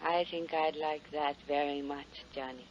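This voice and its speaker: A woman's voice: low-pitched, steady tone, normal speed, natural voice. A woman playing a coolly efficient, flirtatious informant in a 1950s radio detective drama. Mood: coy, inviting. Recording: muffled 1950s radio recording.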